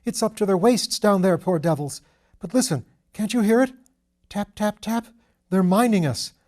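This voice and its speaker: A man's voice: sits high, normal speed, darkish tone, voice pushed up, lightly acted. A man voicing a shell-shocked young English lord in an audiobook, feverish, frightened and pleading. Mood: panicked. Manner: urgent, agitated, pleading. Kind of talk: audiobook character voice.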